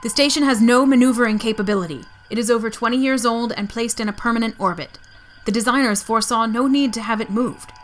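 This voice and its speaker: A woman's voice: on the high side, fast talker, soft background music, lightly acted. A woman playing a coolly logical starship science officer in a sci-fi audio drama, speaking formally and precisely. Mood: cool, composed.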